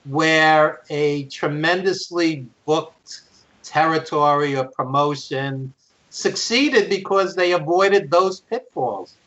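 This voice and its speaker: A man's voice: on the high side, slow, a bit bright, muffled recording. A middle-aged American man co-hosting a show, commenting and asking questions conversationally. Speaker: podcast co-host. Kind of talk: conversational interviewing.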